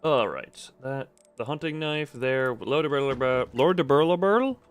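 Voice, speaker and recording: A man's voice: medium pitch, animated, moderate pace, smooth, soft background music. A man giving casual live video game commentary, thinking aloud in a rambling, self-interrupting way with playful, self-deprecating wordplay.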